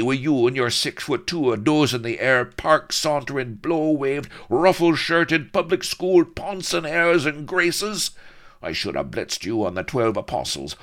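Man as pirate colonel, raging, gruff, bellowing, swinging pitch. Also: a bit bright. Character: blustering raging Irish-tinged pirate colonel, middle-aged.

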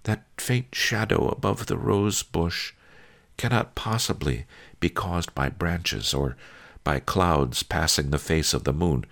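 A man voicing an earnest young male pupil who speaks in a hushed, wondering way. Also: sits low, takes it slowly, vocal fry, pitched above the performer's own voice, heavily acted. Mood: puzzled.

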